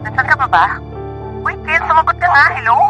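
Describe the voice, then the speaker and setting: A woman's voice: high-pitched, expressive, a little fast, highs cut off, music in the background. A woman playing an impatient, demanding pregnant mistress in a Filipino radio drama, nagging as she talks.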